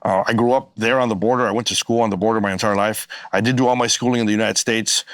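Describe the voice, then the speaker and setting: A man's voice: fairly low pitch, fairly even intonation, very fast, fairly bright tone, no echo. A man in his forties who grew up on the border, answering as a union spokesperson in an earnest, explanatory way. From US-Mexico border, Arizona. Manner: earnest, explanatory, with frequent fillers.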